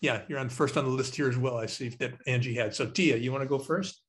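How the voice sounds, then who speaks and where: An older man hosting an online alumni panel from his home study, in a relaxed, rambling way. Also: medium pitch, quick pace. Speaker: panel moderator. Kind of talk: webinar moderating. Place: home study, on a video call. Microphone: video call.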